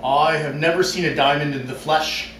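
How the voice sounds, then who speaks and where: A man in his thirties giving a mock-serious dramatic reading in a deliberate, theatrical manner with long pauses, in a living room. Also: on the high side, steady tone, fairly bright tone. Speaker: talent show performer. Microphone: far camera. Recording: distant camera, roomy echo, noisy background.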